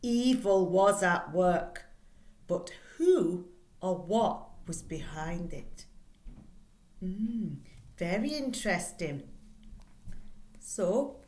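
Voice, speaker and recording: A middle-aged woman teaching, reading a children's book aloud in a deliberate, expressive way and putting questions to her listeners. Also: on the low side. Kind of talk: read-aloud lesson for pupils, reading and explaining a children's book. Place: small plain indoor room. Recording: echoey, roomy indoor recording.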